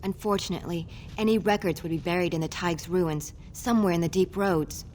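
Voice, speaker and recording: A woman's voice: on the low side, brisk, darkish tone, slight background noise, natural voice. An actress playing a shy, hopeful young female dwarf scholar in a fantasy video game, earnest and apologetic. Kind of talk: video game character acting.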